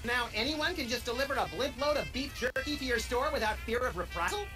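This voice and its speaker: A man voicing a manic little male rabbit-like sidekick in a video game, with deadpan wisecracks. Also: extremely high pitch, quick pace. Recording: game audio under music.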